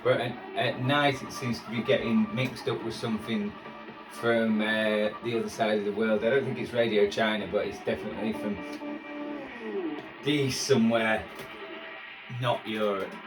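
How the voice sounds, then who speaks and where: Man, lowish voice, casual rambling hobbyist commentary, self-deprecating, roomy kitchen, distant mic. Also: steady tone, normal speed. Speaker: shortwave radio hobbyist video host. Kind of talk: casual chatty commentary while tuning a radio. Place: indoors in a kitchen. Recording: roomy, distant mic, radio music behind. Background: music playing from a shortwave radio.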